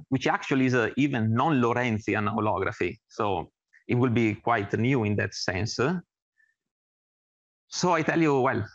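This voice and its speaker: Italian man presenting, halting delivery. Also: mid-range voice, fairly bright tone, dry recording, dull audio. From Italy. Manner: hesitant, halting speech with many filled pauses. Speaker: academic researcher introducing himself and his work. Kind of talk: informal presentation with slides.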